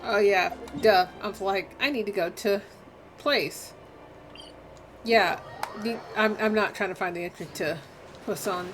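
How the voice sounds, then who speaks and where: A woman's voice: medium pitch, a little slow, long pauses. A woman livestreaming a game, giving casual, blunt and snarky reaction commentary. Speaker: livestream host playing a game. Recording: livestream over game music. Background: game music.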